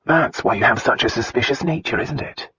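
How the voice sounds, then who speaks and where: A man acts out a romance audiobook hero, a tender husband used to command. His voice is extremely husky and medium in pitch, with an earnest, insistent delivery. The sound is a little dull. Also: fairly quick, pushed and bright, no room sound. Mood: tender, knowing.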